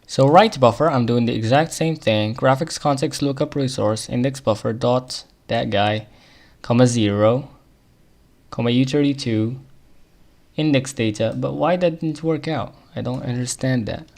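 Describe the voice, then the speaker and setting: A man with an Arabic accent thinking aloud while debugging code on a live coding stream, muttering to himself with quick chuckles. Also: mid-range voice, creaky, darkish tone.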